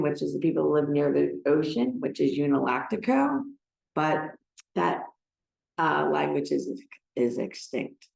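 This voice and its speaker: Creaky-voiced older American woman, roomy sound. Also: sits low, darkish tone. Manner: emotional, halting. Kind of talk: personal talk to a gathering.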